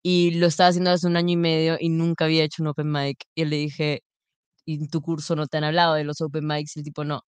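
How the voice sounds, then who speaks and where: A woman from Italy and Peru chatting as a guest comedian, her voice sitting low for a woman. She rambles along with many fillers and laughs often. The sound is close and dry in a radio studio. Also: flat delivery, quick pace, clear, resonant tone, dry recording. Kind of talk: casual chat.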